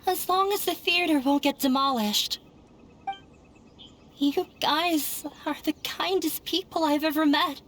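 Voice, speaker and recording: A woman voicing a guilt-ridden young woman in a video game who speaks quietly and apologetically. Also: sits high, unhurried. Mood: remorseful.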